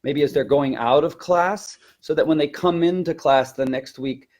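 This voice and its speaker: A man's voice: on the high side, moderate pace, darkish tone. A man speaking as a teacher trainer in a conversational webinar, explaining things and voicing example classroom instructions. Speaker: teacher trainer, elementary language teacher.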